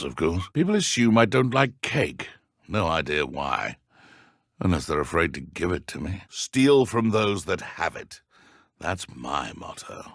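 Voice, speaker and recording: A man playing a cruel, sneering British dark wizard poacher in a video game, taunting with mock-polite threats. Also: low-pitched, no echo, clean studio sound, full character voice. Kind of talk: video game villain combat barks and idle lines.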